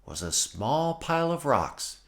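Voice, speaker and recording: Animated, unhurried male storybook narrator. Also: medium pitch, vocal fry. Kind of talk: expressive children's read-aloud narration.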